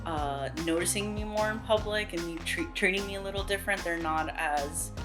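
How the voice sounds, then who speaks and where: Chatty thirtysomething woman interviewee, slightly low pitch, over a clear music bed. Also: brisk. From Southern California. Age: 30s. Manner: casual, chatty, many fillers, laughs easily. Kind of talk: candid sit-down interview.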